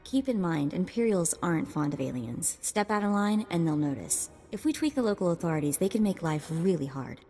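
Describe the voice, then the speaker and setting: Husky, sarcastic sidekick. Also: female voice, fairly low pitch, lively intonation, fairly quick, hardly any pauses, mellow, in a large hall, soft background music. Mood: wry. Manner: wry, casual. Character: streetwise, sarcastic young female sidekick.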